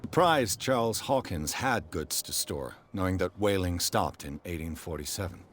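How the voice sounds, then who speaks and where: An actor playing a terse, gruff private investigator who questions people bluntly in a video game, with a husky voice. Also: male voice, sits low, expressive, moderate pace, a little hiss or hum. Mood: probing. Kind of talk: video game cutscene dialogue.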